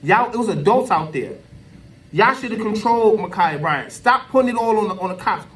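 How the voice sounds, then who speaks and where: A high-pitched American man delivers off-the-cuff commentary for social media, heard at some distance from the microphone. He talks in an emphatic, colloquial way and often repeats himself to drive a point home. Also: lively intonation, brisk, fairly bright tone. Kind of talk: unscripted opinion rant to camera. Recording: voice distant from the mic.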